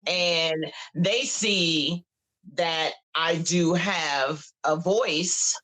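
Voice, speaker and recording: A woman over fifty with a bright, forceful voice chats easily as a podcast guest. She stops, restarts and repeats words as she thinks aloud. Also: lowish voice, extremely slow, low-quality recording. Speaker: podcast guest, cigar brand ambassador. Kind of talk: casual chat.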